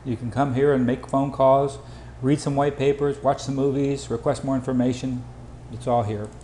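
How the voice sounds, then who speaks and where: A man giving an informal sales presentation over slides, with hurried, clipped phrasing. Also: mid-range voice, little pitch movement, warm tone. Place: indoor room, voice-over for slides. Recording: roomy echo, faint background noise.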